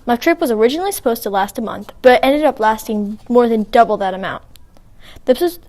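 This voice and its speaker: A woman's voice: fairly high pitch, animated, fast, darkish tone, natural voice. A young woman playing the naturalist Charles Darwin, reading earnestly with small restarts. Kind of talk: school-project role-play reading.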